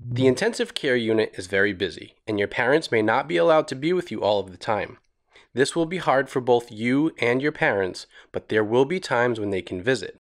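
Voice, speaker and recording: A man narrating a children's educational cartoon from a script, explaining things simply and reassuringly. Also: medium pitch.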